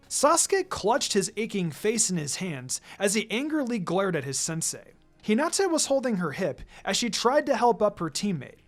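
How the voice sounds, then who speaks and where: A narrator reading fan fiction aloud in an expressive, dramatic and emphatic storytelling style. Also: medium pitch. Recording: music under the voice.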